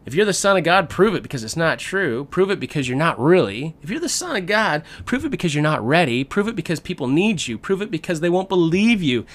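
Taunting tempter spirit, voiced by a male preacher: snarky, critical, goading, raised pitch, sermon storytelling. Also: big pitch swings, a little fast, hardly any pauses.

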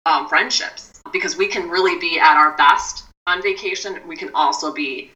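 Woman, low pitch, bright tone, mindfulness expert, measured, chatty asides. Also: recorded from a distance, highs cut off. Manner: measured, advising, with many "you know" asides. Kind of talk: podcast conversation.

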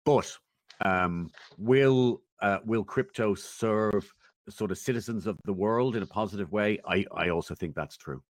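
A man giving considered opinions as a guest in a conversational interview, thoughtful and measured, often pausing as he goes. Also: on the low side, no room sound. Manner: thoughtful, measured, halting with pauses. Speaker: interview guest, technology commentator.

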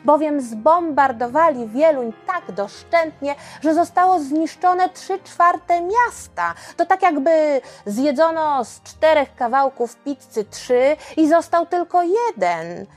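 A woman's voice: sits high, animated, slow pace, few pauses, soft background music. A woman narrating history for children as a podcast host, explaining things and addressing listeners directly.

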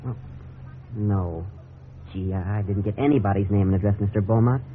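A man's voice: lowish voice, normal speed, close to an everyday voice. An actor playing an earnest, naive, apologetic teenage boy, polite and hesitant, in 1950s radio drama style. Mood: sheepish. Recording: tinny, noisy 1950s radio recording.

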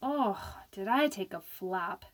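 A woman's voice: medium pitch, lively intonation, takes it slowly. A woman playing a brash, wisecracking young woman in an old-time radio drama, slangy and blunt. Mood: rueful.

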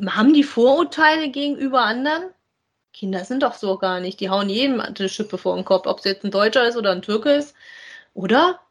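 A woman's voice: on the high side, animated, brisk. A woman co-hosting a podcast in casual chat, colloquial, asking questions and agreeing with her partner. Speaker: podcast co-host reviewing audio dramas.

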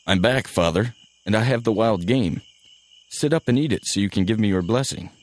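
A man's voice: fairly low pitch, normal speed, no room sound, natural voice. Man acting an emotional, hot-tempered biblical hunter son. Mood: eager. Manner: emphatic, emotional delivery. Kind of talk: dramatized audio Bible acting.

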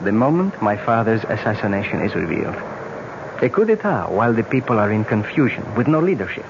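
A man playing a courteous, solemn young prince in danger, speaking with measured politeness in a 1970s radio drama. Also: on the low side. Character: grave, courteous young prince marked for death. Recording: muffled, very noisy old radio recording.

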